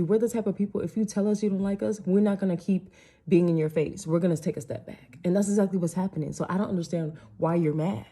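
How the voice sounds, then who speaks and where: A woman's voice: medium pitch, warm tone. A woman, delivering an indignant rant to camera full of rhetorical questions. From United States. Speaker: social media commentator. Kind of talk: impassioned direct-to-camera rant.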